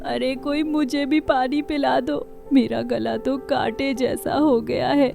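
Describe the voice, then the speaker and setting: A woman's voice: sits high, steady tone, slow pace, vocal fry, darkish tone, soft background music, full character voice. A woman voicing a superstitious, self-pitying old village aunt in a cartoon story, complaining dramatically. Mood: whiny, self-pitying. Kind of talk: animated moral story dialogue.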